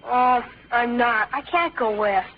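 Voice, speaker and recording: An earnest American schoolboy with a high voice and a stubborn streak. He speaks emphatically, in the manner of old radio drama. The recording is dull and lo-fi. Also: takes it slowly, exaggerated voice. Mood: glum scheming. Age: child actor or young teen.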